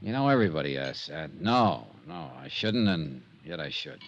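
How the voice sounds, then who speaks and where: A man's voice: very deep voice, sing-song, normal speed, lo-fi sound, slight background noise, heavily acted. An actor playing a tough, dogged insurance investigator in an old-time radio drama, terse and dry as he narrates and questions. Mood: weary, dry. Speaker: lead detective and narrator. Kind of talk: hard-boiled 1950s radio detective acting.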